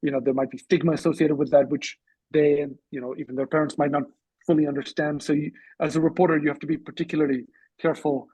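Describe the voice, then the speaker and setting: A man with a husky voice giving measured, reflective, slightly hesitant answers as a guest in an online discussion. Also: fairly high pitch, flat delivery, warm tone, no room sound, rough recording. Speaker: interview guest, author and journalist. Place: online video call.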